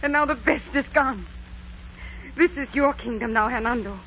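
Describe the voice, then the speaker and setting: An actress playing a noble, steadfast young Aztec princess in earnest 1940s radio acting style. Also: female voice, a little high, normal speed, a bit bright. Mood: grieving, resigned. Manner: earnest, solemn, theatrical. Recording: muffled 1940s radio recording, faint noise.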